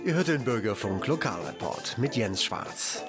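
A man's voice: on the low side, big pitch swings, normal speed, loud music behind the voice. A man presenting on the radio as the host of a show.

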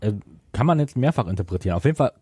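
A man co-hosting a podcast, casually explaining and gently correcting his fellow hosts. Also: mid-range voice, expressive, racing, husky, no room sound. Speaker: podcast co-host. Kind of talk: casual chat, discussing an audio drama.

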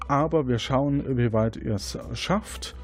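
A man's voice: medium pitch, slow pace, faint music behind. A man hosting an audio quiz game, presenting statements and questions to contestants. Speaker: quiz show host.

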